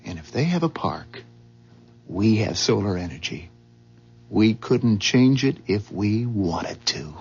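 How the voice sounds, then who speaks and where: An actor playing an earnest, anxious, ordinary husband in a 1970s radio drama, speaking a little hesitantly. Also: male voice, lowish voice, big pitch swings, slow, vocal fry, over music, exaggerated voice. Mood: resolute. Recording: dull, hissy 1970s radio recording.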